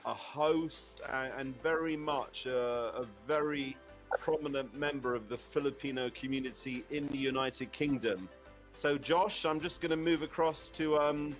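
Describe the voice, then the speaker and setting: A man hosting a casual online chat show, chatty and full of restarts. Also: mid-range voice. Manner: chatty, with many restarts and fillers. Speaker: host.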